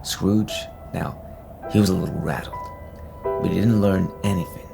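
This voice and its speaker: A man playing a casual, unhurried narrator, telling a ghost story conversationally in an audio drama. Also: deep voice, steady tone, creaky, music in the background. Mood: wry, measured.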